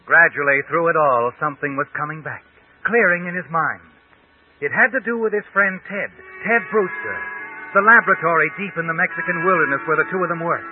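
A man acts out a mysterious male night-walker narrating dark radio tales. His voice sits fairly high, with an ominous, deliberate delivery. The old broadcast recording is very thin, with clear music behind it. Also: narrow, tinny audio, natural voice. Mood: tense, dramatic.

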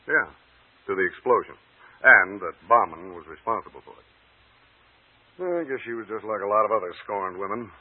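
An actor playing a blunt, insistent homicide detective in 1940s radio style, husky and matter-of-fact. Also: male voice, lowish voice, a little fast, long pauses. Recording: tinny, echoey old radio recording.

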